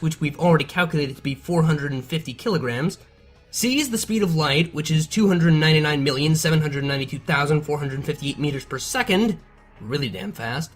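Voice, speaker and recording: A performer voicing an excitable, nerdy pony scientist, explaining precisely in a scripted educational dialogue. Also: medium pitch, lightly acted. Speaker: science explainer in an animated analysis show. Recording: music behind the voice.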